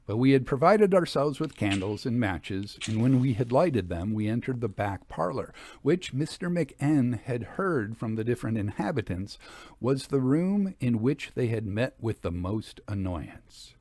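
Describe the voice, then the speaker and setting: Man, audiobook narration, Victorian ghost story, mid-pitched, lively intonation, measured storytelling. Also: dry recording. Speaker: audiobook reader.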